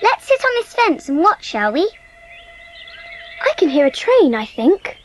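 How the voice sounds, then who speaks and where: A woman's voice: very high voice, very animated, measured pace, over music, pitched above the performer's own voice, full character voice. A woman voicing an imaginative, eager young girl with a British accent, earnest and full of wonder, in a dramatised storybook. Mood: curious, wondering.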